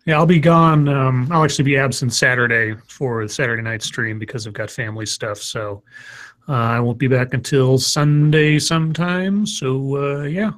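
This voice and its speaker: A man's voice: mid-range voice, moderate pace, fairly bright tone. A man with a slightly scratchy voice, casually reading news stories on a stream with rambling, sarcastic asides.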